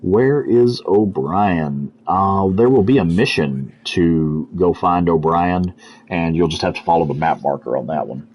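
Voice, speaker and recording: A man's voice: low voice, lively intonation, measured pace, highs cut off. A man with a Texas accent giving laid-back, chatty live commentary over gameplay as a streamer, answering chat and swearing casually. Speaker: gaming streamer.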